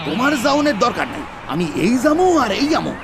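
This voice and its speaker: A man's voice: very high-pitched, sing-song, fast talker, very noisy, full character voice. A man playing a cheeky, stingy husband in a comic cartoon, theatrical and wheedling, with an East Bengali accent. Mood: blustering. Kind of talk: exaggerated comic cartoon acting. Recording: music behind the voice.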